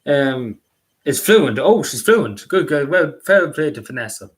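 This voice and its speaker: A young man with a Derry accent from Northern Ireland, chatting casually on a live stream, rambling and apologetic with many halting restarts. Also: a little high, sing-song. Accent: Derry, Northern Irish. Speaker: livestreamer.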